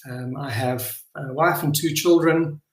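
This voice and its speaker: A middle-aged man with a South African accent giving an informal, unscripted address as a company founder, hesitant and earnest, often pausing and repeating words. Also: fairly high pitch, steady tone. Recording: echoey room sound.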